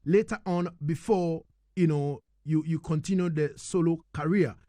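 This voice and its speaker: A man's voice: fairly high pitch, normal speed, warm tone, no echo. A man hosting a live radio interview, speaking emphatically and directly to his listeners.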